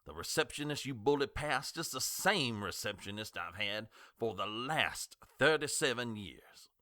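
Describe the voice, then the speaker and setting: An actor playing an older, skeptical small-town doctor in an audio drama, sardonic and condescending, given to lecturing. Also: male voice, mid-range voice, lively intonation, unhurried, pushed and bright, no echo, studio quality. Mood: scornful.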